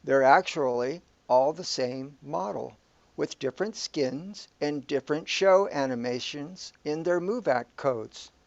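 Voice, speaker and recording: All-knowing male guide played by an actor, calm and cryptic, lightly high voice, slightly muffled, sci-fi audio drama. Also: creaky, dry recording. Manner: measured, matter-of-fact, questioning. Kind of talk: sci-fi radio drama dialogue. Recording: dry, dull lo-fi audio.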